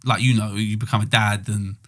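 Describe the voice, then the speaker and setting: A man talks through his thoughts at an easy pace, trailing off and circling back. His voice sits a little low, and he chats casually as a podcast co-host. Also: brisk. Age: 20s. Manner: relaxed, rambling, reflective. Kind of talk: casual chat.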